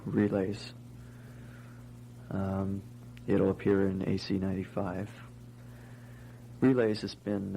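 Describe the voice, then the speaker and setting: A man with a dark, creaky voice talking informally and with understatement about his own writing. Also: low voice, slight background noise, rough recording. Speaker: amateur zine writer.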